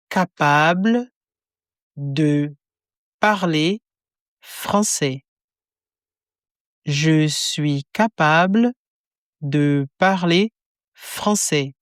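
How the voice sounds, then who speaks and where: A woman with a low voice reads short phrases at an extremely slow, unhurried pace. She says each word calmly and deliberately, one by one, as a lesson narrator. The sound is dry and close, with no room around it. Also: dry recording. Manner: calm, deliberate, word-by-word enunciation. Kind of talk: slow phrase-by-phrase drill reading.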